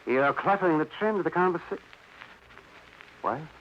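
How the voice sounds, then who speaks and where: An actor playing a supercilious, fussy doctor, pompous and stammering, in an old radio crime drama. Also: male voice, a little high, lively intonation, normal speed, close to an everyday voice. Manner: pompous, stammering when cornered. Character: evasive, fussy, supercilious male doctor hiding his nerves. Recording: muffled, hissy old radio broadcast.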